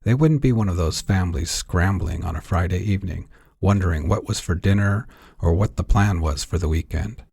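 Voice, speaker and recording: A man with a husky voice reading his own fiction aloud as a scripted audiobook narration. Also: low voice, a little mellow, no echo, studio quality.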